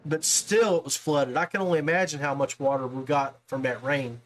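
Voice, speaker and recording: A man's voice: mid-range voice, fairly even intonation, fairly quick, poor audio. A man with an American accent chatting casually as the host of a podcast. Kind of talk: casual chat.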